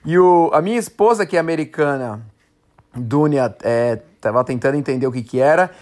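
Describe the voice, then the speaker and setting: Brazilian podcast host, thirties, male, speaks a bit high, loose and self-correcting. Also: brisk, darkish tone. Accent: Brazilian Portuguese. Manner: chatty, rambling, self-correcting. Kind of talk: casual solo podcast talk.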